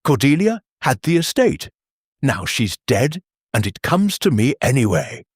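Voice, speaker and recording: A bitter English gentleman under suspicion, acted by a male storyteller in an audiobook mystery. He speaks in clipped, defensive phrases with a husky edge and restless, lively pitch. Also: a little slow, no echo, clean studio sound. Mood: flat, resigned. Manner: flat, clipped, resentful. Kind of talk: audiobook character voice.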